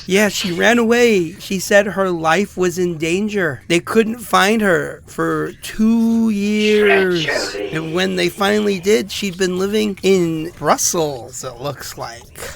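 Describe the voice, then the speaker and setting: An actor playing a bitter, wounded ex-convict in an audio drama monologue, halting and wry as he confides. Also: high-pitched, creaky, noisy background, full character voice. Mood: wry, musing. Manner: halting, wry, muttering asides with chuckles.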